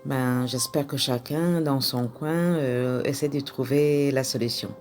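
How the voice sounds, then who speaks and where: A woman from Burundi, Kirundi her first language, with a very low, mellow voice. She speaks reflectively and hesitantly, pausing often to find her words, over faint music. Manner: reflective, hesitant, with many fillers. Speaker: interviewee.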